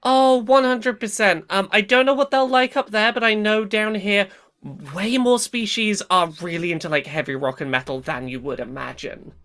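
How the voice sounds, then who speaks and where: A woman player at a tabletop game, talking easily and casually. Her voice has a middle pitch and sounds plain. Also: fairly even intonation, normal speed, a bit bright.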